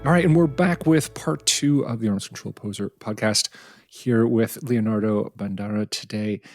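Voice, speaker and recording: A man's voice: lowish voice, normal speed, darkish tone, soft background music. A man hosting a podcast interview, thinking aloud with frequent restarts and self-corrections. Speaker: podcast host. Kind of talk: conversational interview.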